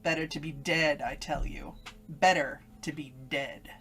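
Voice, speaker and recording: Casting: sinister inner voice, female, unhurried, insistent and cruelly taunting, for radio horror play. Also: sits low, fairly even intonation, creaky, a bit bright, husky, faint music behind, heavily acted. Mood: mocking, cruel. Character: evil woman's voice inside a young woman's head. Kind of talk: old-time radio horror acting.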